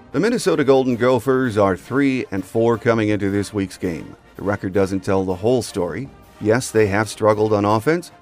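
A man's voice: fairly low pitch, darkish tone. A man hosting a sports podcast, delivering a scripted commentary monologue. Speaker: podcast host.

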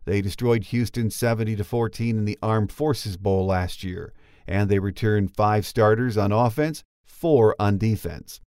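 A man's voice: lowish voice, a little slow, darkish tone, clean tone, no room sound, studio quality. An older man in his sixties or beyond hosting a sports podcast, moving between chat and scripted game notes. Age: 60s or older.

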